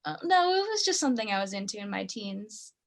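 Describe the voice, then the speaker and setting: A woman's voice: mid-range voice, very animated, a little fast. A young woman acting on stage as a morbidly curious girl on a date, speaking casually and a little hesitantly. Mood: curious, chatty. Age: young adult. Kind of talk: student stage acting, naturalistic dialogue.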